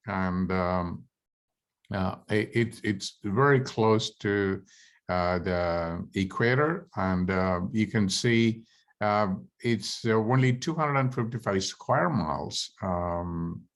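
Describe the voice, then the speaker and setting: A middle-aged man, a native Tamil speaker, presenting a study abroad program in a halting way, with many fillers and restarts. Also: fairly low pitch, expressive. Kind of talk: informational presentation to prospective students.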